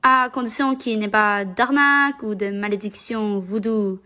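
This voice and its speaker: A woman's voice: medium pitch, fairly even intonation, moderate pace, sharp tone, close to an everyday voice. A woman voicing an optimistic, determined young demon princess, earnest and assertive, in an amateur cartoon fan dub. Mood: resolute.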